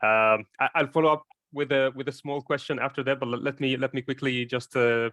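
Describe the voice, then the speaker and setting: A man's voice: medium pitch, fairly even intonation, a little fast, fairly bright tone, no echo, studio quality. A man from Egypt, a startup founder, introducing himself in a live online Q&A and talking hesitantly, with many fillers and restarts.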